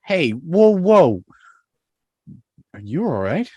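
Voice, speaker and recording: A man playing a casual, concerned young guy in an amateur table read, with a breathy voice. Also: a little high, sing-song, slow, dark tone, dry recording, lo-fi sound, full character voice.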